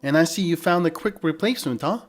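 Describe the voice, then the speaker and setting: A man's voice: fairly high pitch, lively intonation, fast, soft background music, voice pushed up. Man playing a cold, betrayed angry husband. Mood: cold anger. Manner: curt, speaks through gritted teeth.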